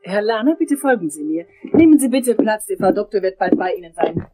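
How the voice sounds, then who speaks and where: Naive friendly receptionist, middle-aged woman, mellow breathy voice, polite, radio play. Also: mid-range voice, lively intonation, brisk, no room sound, faint music behind. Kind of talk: crime radio play dialogue.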